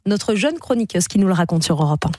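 A woman co-hosting a radio talk show, asking guests playful, brisk questions. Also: mid-range voice, animated, over loud music. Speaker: radio co-host.

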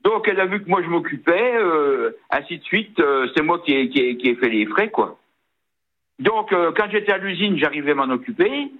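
A man in his sixties with a regional eastern French accent, calling in to a late-night radio show. His voice sits a bit high for a man and comes through a thin, tinny phone line. He talks haltingly and fills his pauses with "euh". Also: animated. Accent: Vosges French. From Vosges, France. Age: 60s. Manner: hesitant, many fillers and restarts. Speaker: caller.

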